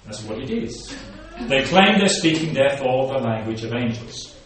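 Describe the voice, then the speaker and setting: A man's voice: mid-range voice, animated, normal speed, a bit bright. A man preaching a sermon, reading scripture aloud and then explaining it to his congregation. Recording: very echoey room, voice from a distance.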